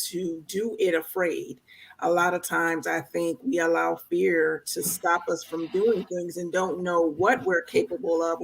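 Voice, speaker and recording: Woman guest on a radio talk show, gravelly fry in a slightly low voice, earnest and thankful, measured delivery. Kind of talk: conversational testimonial.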